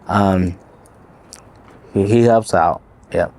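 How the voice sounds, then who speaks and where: A man who owns a ranch and trains race horses, speaking relaxed and hesitantly in a documentary interview. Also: low-pitched, drawn-out words. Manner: relaxed, hesitant, many fillers.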